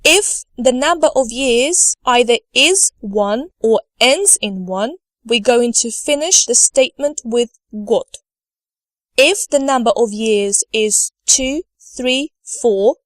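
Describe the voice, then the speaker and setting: A woman teaching a language lesson over slides, speaking slowly and carefully and modelling words for learners to repeat. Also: on the high side, no room sound. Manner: slow, careful delivery, models words for repetition. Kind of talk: slide lecture.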